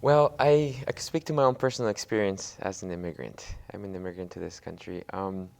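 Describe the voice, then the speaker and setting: A man's voice: low voice, brisk, vocal fry, darkish tone. A young man born in Mexico whose first language is Spanish, answering interview questions with many fillers and restarts. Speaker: guest.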